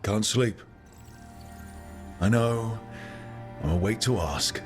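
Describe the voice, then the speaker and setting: An actor playing a friendly, plain-spoken adventurer hero in a video game, talking casually and briefly. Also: male voice, lowish voice, slow, faint music behind. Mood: quietly conversational. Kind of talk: video game character acting.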